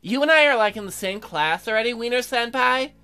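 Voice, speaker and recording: A woman's voice: on the high side, expressive, fairly quick, pushed and bright, clear, resonant tone, pitched above the performer's own voice. A woman voicing a cheerful, eager shark schoolgirl in a visual novel, perky and earnest. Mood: friendly, curious.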